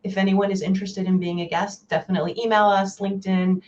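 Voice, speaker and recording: A woman co-hosting a podcast on a video call, a little hoarse, friendly and upbeat. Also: mid-range voice, little pitch movement, quick pace, a little mellow, highs cut off. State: losing her voice. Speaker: podcast co-host.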